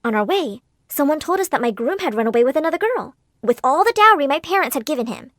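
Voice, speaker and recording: A woman narrating a dramatic storytime tale and voicing the characters in it, in a theatrical, animated way. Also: sits high, quick pace, dry recording, clean studio sound.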